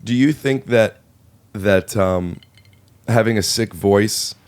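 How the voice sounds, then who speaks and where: Slightly raspy, low-pitched male podcast host. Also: slow. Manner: playful, teasing. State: voice a little rough after illness. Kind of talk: casual comedic chat.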